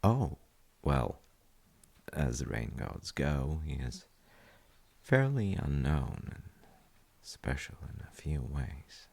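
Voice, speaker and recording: A man playing a gentle, thoughtful dad in an intimate audio roleplay, speaking hesitantly and softly with a gravelly, husky voice. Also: a bass voice, big pitch swings, slow, long pauses, full character voice. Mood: wry, musing. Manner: hesitant, musing, soft-spoken. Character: gentle, thoughtful dad comforting his child. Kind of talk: intimate audio roleplay, slow bedtime chat.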